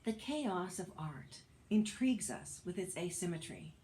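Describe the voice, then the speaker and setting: A woman reading a personal essay aloud as a narrator, with a wry, measured storytelling delivery. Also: on the low side, animated, mellow. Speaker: audiobook narrator, actor and author reading an essay.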